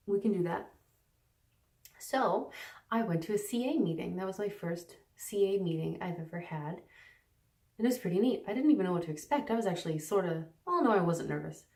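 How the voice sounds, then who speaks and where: Low-voiced woman rambling in an echoey stairwell. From Canada. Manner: rambling, many fillers and restarts, partly hushed. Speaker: recovery vlogger. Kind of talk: casual unscripted talk to camera. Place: on the stairs at home, indoors. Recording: echoey, mic some distance away.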